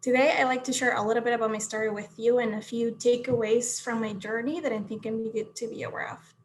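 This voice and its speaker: Young woman from Peru, keynote over a video call, slightly high voice, faint background noise. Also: fairly even intonation, fast talker. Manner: earnest, friendly storytelling. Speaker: keynote speaker.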